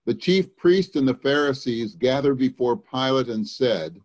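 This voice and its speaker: A man's voice: mid-range voice, expressive, measured pace, darkish tone. A man giving a dramatic scripture reading as one of several readers. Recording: slightly dull, lo-fi audio.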